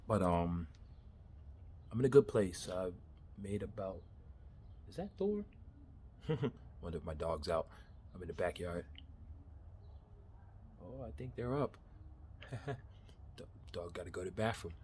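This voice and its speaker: Man in his thirties, low voice, casual self-recorded pep talk outdoors, loose and candid. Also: sing-song, a little slow, pauses often, warm tone, slight background noise. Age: 30s. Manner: rambling, candid, laughs at himself, swears casually. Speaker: vlogger. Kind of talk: casual motivational monologue. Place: backyard.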